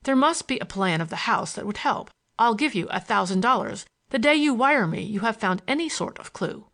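A woman's voice: on the high side, expressive, a little fast, gravelly, a bit bright. A woman voicing an impatient, imperious, scheming businessman with a curt, commanding manner in an audiobook.

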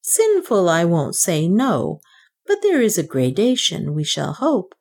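A woman voicing a feverish older male banker who talks in wheedling, unreal tones and sounds excited and tremulous. She reads him in a storybook setting. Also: sits high, unhurried, gravelly, breathy edge, full character voice. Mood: pleading.

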